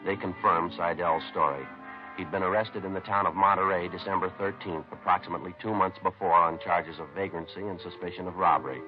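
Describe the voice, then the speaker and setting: An actor playing a terse, businesslike police sergeant who narrates a 1950s radio crime drama in a deadpan, matter-of-fact way. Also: male voice, deep voice, a little fast, close to an everyday voice. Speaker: narrator and lead police detective. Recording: dull vintage radio recording with music.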